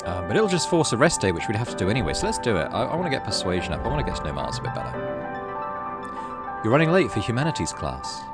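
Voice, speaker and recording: A man's voice: on the low side, huge pitch swings, quick pace. A man hosting a gaming playthrough, mixing casual commentary with read-aloud story narration. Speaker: gaming channel host. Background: game music.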